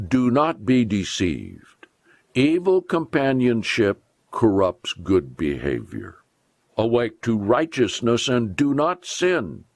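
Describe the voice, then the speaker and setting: Male preacher for solemn scripture reading: low voice, widely varied intonation, deliberate and emphatic delivery. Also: dry recording, studio quality.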